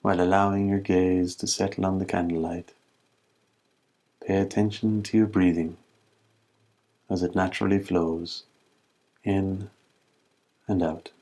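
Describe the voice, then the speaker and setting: A man leading a guided meditation as a teacher, speaking calmly and in measured phrases with long pauses. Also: low voice.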